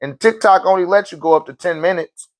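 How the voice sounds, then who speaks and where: A man hosting a spiritual live stream, talking loosely in slang and answering viewer questions in a relaxed, conversational way. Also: high voice, fairly quick.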